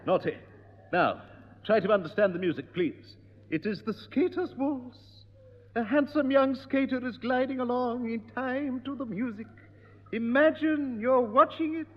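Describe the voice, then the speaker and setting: An actor playing a fussy piano teacher in a 1960s radio sitcom, stern and pompous and easily exasperated. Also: male voice, very high voice, unhurried. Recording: dull old radio broadcast with long reverb.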